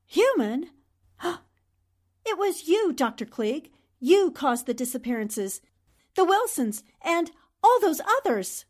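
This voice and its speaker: A woman's voice: sits high, sing-song, slow, a little mellow, exaggerated voice. A woman playing a bold, indignant newspaperwoman in an emphatic, dramatic 1940s radio drama style. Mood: shocked, accusing.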